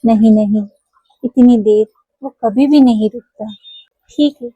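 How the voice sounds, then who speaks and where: A woman's voice: on the high side, a little slow, dark tone, breathy edge, dry recording, lo-fi sound. A woman voicing an anxious, caring mother cow in a children's toy cartoon story. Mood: anxious, worried.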